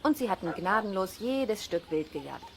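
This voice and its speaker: A woman's voice: mid-range voice, big pitch swings, moderate pace, gravelly. A woman playing a friendly, knowledgeable wolf keeper, explaining patiently in a children's radio play. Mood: friendly, instructive. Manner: patient, lightly amused explaining. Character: friendly, knowledgeable woman who looks after wolves. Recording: faint cassette noise.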